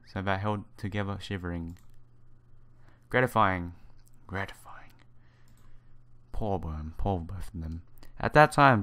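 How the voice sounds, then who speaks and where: A man's voice: sits low, a bit bright. A man doing a game playthrough, reading the story text aloud with casual asides and stumbling over some words. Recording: game music behind the voice.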